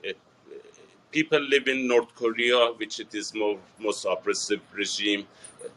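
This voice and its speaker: A man, answering a TV reporter's questions in a halting, earnest way with many fillers and restarts. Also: fairly low pitch, fairly bright tone, slight background noise, rough recording. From Iran. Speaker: interviewee. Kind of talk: TV news interview.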